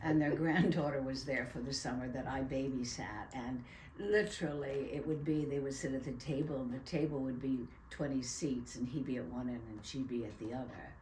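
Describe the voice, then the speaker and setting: An older actress from New York tells animated stories about her roles in a casual interview, with laughing asides. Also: very deep voice, normal speed, slight background noise. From USA (New York). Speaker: guest actress. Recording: roomy echo.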